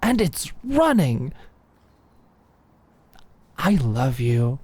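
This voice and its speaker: A woman's voice: a bass voice, big pitch swings, very slow, warm tone, slightly rough, no room sound, exaggerated voice. Woman doing a smug young british businesswoman for asmr roleplay, adoring and teasing, dry close sound. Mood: elated, adoring. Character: Alex, cocky, adoring young queer businesswoman celebrating with fiancée. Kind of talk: scripted ASMR audio roleplay.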